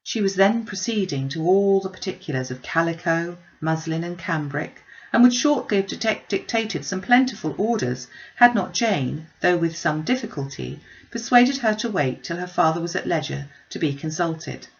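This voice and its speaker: A woman reading a classic novel aloud as an expressive storyteller. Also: fairly low pitch, normal speed, few pauses, dull audio. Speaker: audiobook reader.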